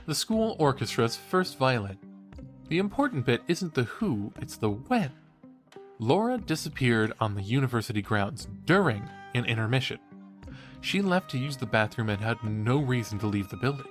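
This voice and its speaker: An actor playing a cheeky, enthusiastic college student who hosts a true-crime podcast in an audio drama. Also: male voice, mid-range voice, very animated, normal speed, soft background music, lightly acted. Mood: intrigued storytelling. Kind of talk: conversational audio drama acting as podcast host.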